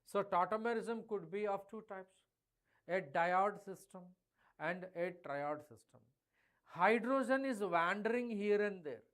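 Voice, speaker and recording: A middle-aged Indian man teaching chemistry in a live online revision class. His voice sits high for a man, and he speaks with emphasis, calling out to his students directly. Now and then he slips into Hindi phrases. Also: a little slow, a bit bright. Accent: Indian English.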